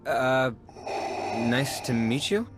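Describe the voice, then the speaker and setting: A man's voice: mid-range voice, expressive, drawn-out words, noisy background. A man voicing an earnest, nervous young Jedi apprentice in a video game, with a hesitant, stammering delivery. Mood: nervous, awkward. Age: young adult.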